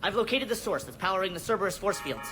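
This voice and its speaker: A woman's voice: medium pitch, animated, fast, fairly bright tone, natural voice. A woman playing a businesslike technical operative in a video game, reporting in a precise, factual way. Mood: matter-of-fact. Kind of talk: video game cutscene acting.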